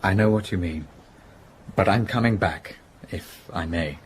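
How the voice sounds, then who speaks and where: An actor playing a contrite, upper-class businessman in a period radio drama, speaking with polite, halting restraint. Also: low-pitched, slight background noise. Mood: quiet, resigned.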